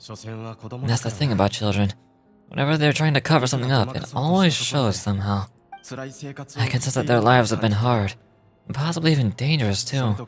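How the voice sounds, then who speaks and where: A man's voice: mid-range voice, close to an everyday voice. A man voicing a kindly, modest stage magician from a game, reading his diary in a reflective way and occasionally stumbling over words. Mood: concerned, reflective.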